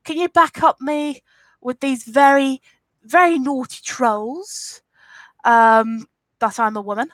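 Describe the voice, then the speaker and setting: A woman's voice: high voice, slow, dry recording. A woman in her twenties with an Essex accent, sniffly from a cold, chatting casually through a live Q&A and answering comments frankly. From England. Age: 20s. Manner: chatty and rambling, frank and defiant with trolls. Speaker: YouTuber hosting a live Q&A. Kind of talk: casual live-stream chat reading comments.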